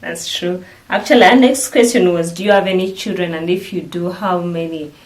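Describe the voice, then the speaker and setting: A woman's voice: lowish voice, moderate pace. A woman in her thirties with a Ugandan accent, hosting a vlog in casual chat to the camera. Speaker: vlog host.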